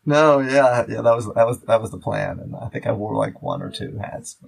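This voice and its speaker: A man chatting casually as a podcast host, in a halting, rambling way full of fillers. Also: mid-range voice, huge pitch swings, gravelly. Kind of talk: casual chat.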